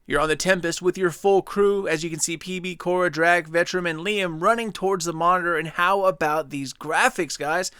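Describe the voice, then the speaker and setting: A man's voice: high voice, steady tone, normal speed, few pauses, pushed and bright, smooth, no room sound, studio quality. A young man hosting a gaming channel, chatting enthusiastically and speculating as he breaks down a trailer. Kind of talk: casual video commentary.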